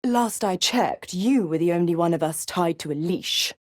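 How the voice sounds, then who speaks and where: A woman playing a seductive, scheming devil in a video game, theatrical and condescending, with a silky, mocking delivery that slips toward breathy whispers. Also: lowish voice, normal speed, no room sound, studio quality, lightly acted. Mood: smug, mocking. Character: seductive, scheming female devil who trades in pacts. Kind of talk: video game character acting.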